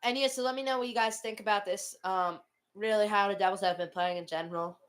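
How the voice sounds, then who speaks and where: Clear-voiced woman calling hockey live. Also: medium pitch, fairly even intonation, quick pace. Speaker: hockey play-by-play commentator hosting a watch party. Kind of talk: live amateur sports commentary with casual chat. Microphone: headset.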